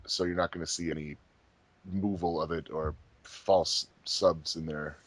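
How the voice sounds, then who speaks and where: A man's voice: low-pitched, dry recording. A man hosting a group commentary track in a casual, chatty style. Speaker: host of a fan dub commentary track.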